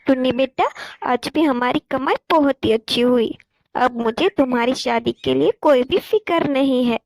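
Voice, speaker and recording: Woman playing an earnest high-voiced mother bird. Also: moderate pace, gravelly, no echo, low-quality recording. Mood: content. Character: hardworking, gentle mother sparrow, worried and earnest.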